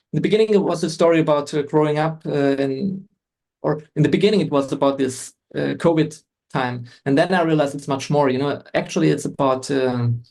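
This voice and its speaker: A middle-aged man, speaking haltingly as a guest in a podcast interview, with many restarts and fillers. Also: on the high side, vocal fry, a little mellow. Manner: hesitant, frequent restarts and fillers. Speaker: guest photographer.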